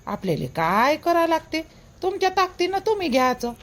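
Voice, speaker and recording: A woman's voice: very high voice, big pitch swings, fairly quick. A woman playing a matter-of-fact, placating village woman in a cartoon, giving advice with a Varhadi Marathi accent from Vidarbha. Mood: advising. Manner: advising, dismissive of fuss. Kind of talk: amateur cartoon dialogue in village dialect.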